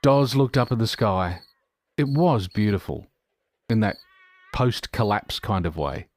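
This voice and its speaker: A man narrating a scripted audio drama in a deliberate, dramatic manner. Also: on the low side, animated, no echo.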